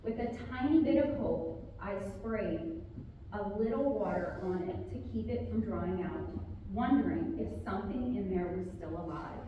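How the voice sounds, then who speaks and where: A woman author speaking and reading a children's story aloud to a group, with expressive, drawn-out emphasis on key words. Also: mid-range voice, highs cut off. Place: large reverberant room, live community gathering. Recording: very echoey hall, distant, faint noise.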